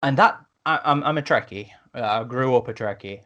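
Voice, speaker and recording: A man in a casual podcast discussion as a host, speaking a little haltingly and repeating words as he goes. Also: mid-range voice, fairly bright tone, highs cut off.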